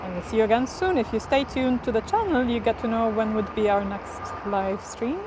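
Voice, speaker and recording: A woman's voice: fairly high pitch, fairly quick. Chatty woman streaming outdoors. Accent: non-native English, neither Portuguese nor Spanish. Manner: chatty, upbeat, laughs often. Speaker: livestream host. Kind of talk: casual live chat with viewers. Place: outdoors near an airport. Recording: outdoor live stream with background noise. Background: traffic and ambient noise.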